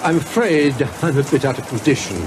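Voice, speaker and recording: An actor playing a nervous, bookish middle-aged professor in an audio horror drama, hesitant, stammering and polite. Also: male voice, on the high side, expressive, fairly quick, noisy background, natural voice. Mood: shaken, polite.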